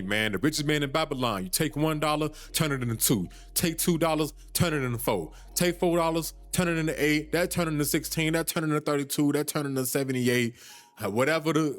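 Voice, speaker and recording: A man in his early thirties hosting a podcast, giving casual money advice in a loose, slangy way, with many fillers and restarts. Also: mid-range voice. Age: 30s. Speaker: podcast host. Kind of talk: casual finance talk.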